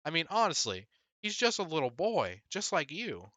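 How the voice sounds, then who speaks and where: Guy doing a stern mom from a kids book, caring but scolding, bright and a bit higher. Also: huge pitch swings, moderate pace, no echo, full character voice. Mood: stern, disappointed. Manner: scolding, earnest. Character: stern but caring mother of two young boys.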